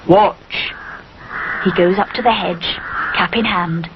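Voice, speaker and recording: A woman narrating a scripted children's story in an expressive storytelling manner. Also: on the low side, normal speed. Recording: muffled recording with clear background noise.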